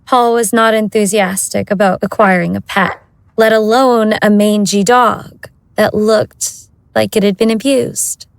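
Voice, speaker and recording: A woman's voice: medium pitch, fairly even intonation, measured pace, vocal fry, no echo. A woman narrating a scripted audio drama as its storyteller.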